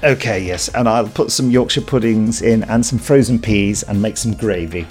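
A man's voice: on the low side, moderate pace, a little mellow, soft background music. A British man co-hosting a podcast, chatting casually with a dry, playful humour. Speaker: podcast co-host. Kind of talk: casual comic chat.

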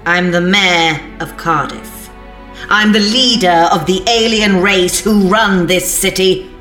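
Imperious alien mayor in captivity, audio drama; forceful, slow, demanding, echoey room. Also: female voice, lowish voice, fairly even intonation. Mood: indignant. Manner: haughty, demanding. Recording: echoey cell, music underneath.

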